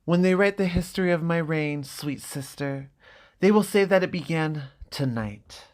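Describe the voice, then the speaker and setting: A man's voice: fairly high pitch, moderate pace, voice pushed up, close to an everyday voice. Man playing a haughty menacing young prince. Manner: haughty, threatening. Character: arrogant young exiled prince, scheming and menacing.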